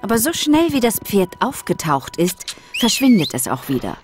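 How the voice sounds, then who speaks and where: A woman's voice: medium pitch, big pitch swings, normal speed, over music. A woman narrating a scripted children's audio drama as a storyteller.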